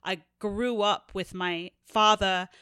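A woman co-hosting a podcast in casual chat, easygoing and quick to laugh. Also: mid-range voice, fairly even intonation, pushed and bright, clean tone, no echo, clean studio sound. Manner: laughs often, easygoing replies. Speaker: podcast co-host.

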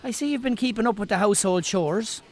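A man's voice: sits high, fast talker, natural voice. A man playing a caring, teasing Irish friend in a radio drama, chatty and bantering. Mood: teasing, concerned. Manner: bantering, concerned. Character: caring, teasing male old friend, married. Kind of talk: naturalistic radio drama acting.

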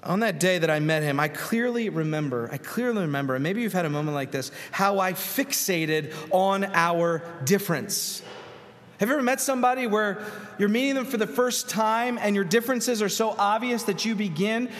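A middle-aged man, a Presbyterian pastor, preaching a sermon in a church with earnest storytelling, pausing and repeating words. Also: high-pitched, fairly bright tone. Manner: earnest storytelling with pauses and repetitions. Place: church sanctuary. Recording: long hall reverb.

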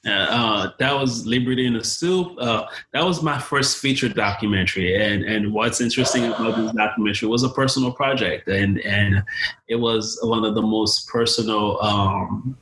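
A young man from Haiti talks as a guest in an interview. His voice is bright and a little low, and he answers hesitantly, often restarting his sentences. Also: moderate pace, a little hiss or hum, rough recording. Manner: hesitant, many restarts and fillers. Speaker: guest filmmaker.

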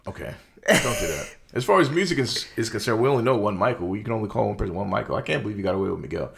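A young man co-hosting a podcast, talking loosely about movies in slangy, animated casual chat with lots of fillers. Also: lowish voice, very fast, creaky, a little hiss or hum. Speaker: podcast co-host.

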